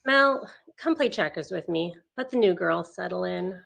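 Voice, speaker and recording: A woman's voice: on the low side, animated, moderate pace, gravelly, fairly bright tone, heavily acted. A woman acting a tough, swaggering young patient in a screenplay table read, blunt and sardonic.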